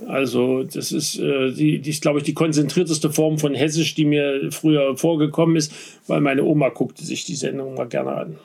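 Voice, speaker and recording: Halting man with a gravelly Saxon tinge. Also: on the high side, fairly even intonation, darkish tone. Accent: slight Saxon. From Saxony, Vogtland. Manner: halting, many restarts and fillers. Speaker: interviewee. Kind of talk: casual conversation.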